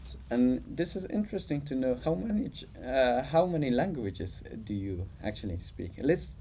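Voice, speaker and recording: A man's voice: mid-range voice, lively intonation, moderate pace, dark tone, a little hiss or hum. A man interviewing a guest in non-native English, asking questions with frequent filler words. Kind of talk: casual interview talk.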